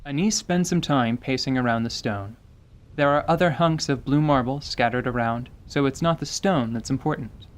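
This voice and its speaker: A man's voice: mid-range voice, moderate pace, slight background noise. A man reading scripted dramatic narration for a fantasy tabletop story told as radio theatre.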